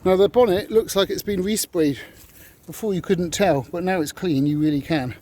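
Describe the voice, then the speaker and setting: High-pitched man vlogging casually. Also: moderate pace, mellow, slight background noise. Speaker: car vlogger. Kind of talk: casual vlog chat.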